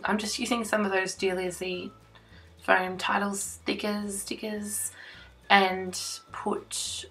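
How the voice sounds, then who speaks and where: A young woman, croaky after laryngitis, chatting casually with lots of ums as she narrates her scrapbooking. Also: fairly low pitch, pushed and bright, poor audio. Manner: chatty, self-conscious, with frequent ums. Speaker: scrapbooking vlogger. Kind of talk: casual crafting voiceover. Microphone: voice-over recorded separately from the filmed table.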